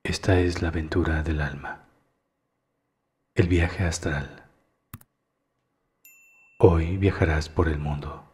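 A man narrating a guided meditation in a hushed, soothing, deliberate way with long pauses. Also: rumbling low, steady tone.

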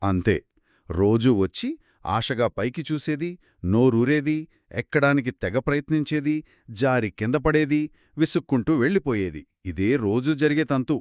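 A man telling a children's story in a conversational, expressive way, with exclamations and short questions. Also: mid-range voice, moderate pace, no echo, studio quality.

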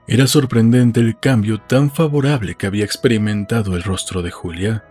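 A man reading literary narration for an audiobook in a measured storytelling manner. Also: on the low side, dark tone, slightly rough.

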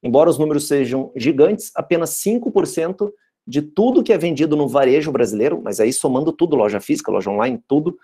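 A man's voice: a little high, expressive, fast talker, dark tone, breathy edge. A man with a Brazilian Portuguese accent giving an online lecture over a video call, talking through slides in a conversational way with frequent tag questions. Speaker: guest lecturer.

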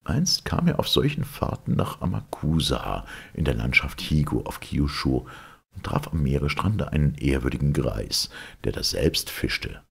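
Very deep, gravelly male storyteller. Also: sing-song, unhurried. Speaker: narrator reading a legend aloud. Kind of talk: scripted storybook narration.

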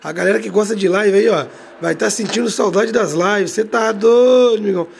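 A man with a Rio de Janeiro accent, hoarse from a cold, giving hyped slangy commentary over a bus game, each phrase ending on a low quiet tag word. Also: a little fast, slight background noise. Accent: Brazilian Portuguese, Rio de Janeiro. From Brazil. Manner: slangy, drags out catchphrases, tag words drop low and quiet. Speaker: game streamer.

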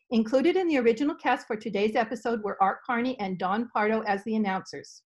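Older woman announcer, fairly high voice. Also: darkish tone. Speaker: announcer and narrator. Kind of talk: scripted radio show introduction. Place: home office lined with shelves. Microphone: computer mic on a video call.

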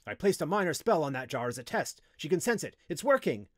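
A man's voice: fairly high pitch, sing-song, normal speed, no echo, clean studio sound. A man voicing a cold, domineering wizard teacher in an audiobook, with a commanding, imperious delivery. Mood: excited. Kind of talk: audiobook character voice.

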